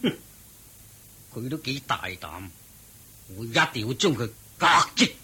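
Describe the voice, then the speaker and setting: Brief: haughty prince for a radio play, husky and animated. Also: male voice, medium pitch, heavily acted. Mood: stern. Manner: lordly, quick to surprise and anger. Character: proud middle-aged nobleman prince.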